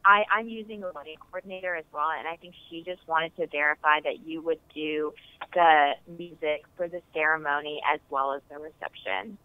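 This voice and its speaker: A woman's voice: low-pitched, steady tone, fairly quick, clear, resonant tone, dry recording. Woman on a tinny phone line. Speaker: client planning a wedding. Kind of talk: casual phone conversation. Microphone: phone call.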